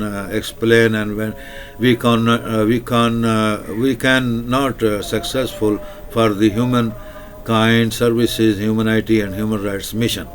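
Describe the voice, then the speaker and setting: Man, Urdu speaker, lowish voice, monotone, slow, halting monologue with lots of uhs. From Pakistan. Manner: hesitant, many filler sounds and restarts, repetitive phrasing. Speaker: channel host. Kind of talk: rambling solo monologue.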